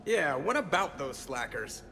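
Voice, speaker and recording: An actor playing a wisecracking, sarcastic starship pilot with a creaky, sharp voice in a video game. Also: male voice, on the high side, big pitch swings, a little slow, a little hiss or hum, exaggerated voice.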